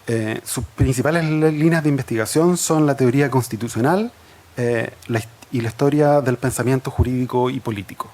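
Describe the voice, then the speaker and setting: A man moderating an academic seminar, introducing the speakers with many fillers and pauses. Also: mid-range voice, darkish tone.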